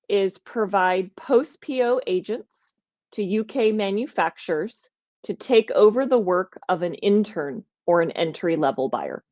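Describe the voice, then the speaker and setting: Energetic woman hosting a podcast. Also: mid-range voice, no room sound, highs cut off. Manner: energetic, emphatic, thinking aloud with restarts. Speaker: podcast host. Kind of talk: conversational podcast talk.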